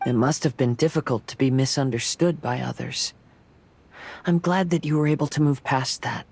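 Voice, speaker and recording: A woman's voice: extremely low pitch, normal speed, gravelly, darkish tone, breathy edge, full character voice. A woman playing a composed, considerate young woman in an anime-style video game, speaking in a thoughtful, formal, restrained way. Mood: sympathetic.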